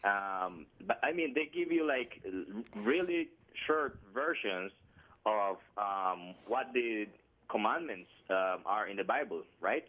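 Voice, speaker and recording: A man's voice: lowish voice, lively intonation, takes it slowly. A man from Colombia with a Colombian Spanish accent, calling in and talking hesitantly with many fillers and restarts. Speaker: caller. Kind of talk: phone call-in conversation. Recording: tinny phone line.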